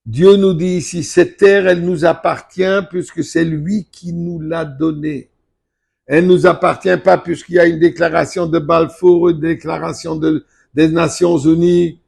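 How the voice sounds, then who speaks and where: An older man, a rabbi, giving a Torah lesson, emphatic and at times forceful. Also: a little high, fairly even intonation, measured pace, vocal fry, husky. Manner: emphatic, rising to forceful outbursts.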